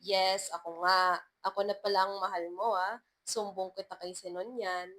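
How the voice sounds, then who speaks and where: A playful, self-assured man from a romance story, voiced by a female narrator. He teases as he talks, in a voice at a middle pitch for a woman. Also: fairly even intonation, normal speed, fairly bright tone, close to an everyday voice. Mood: teasing. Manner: playful teasing. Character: teasing, confident male doctor, a friend.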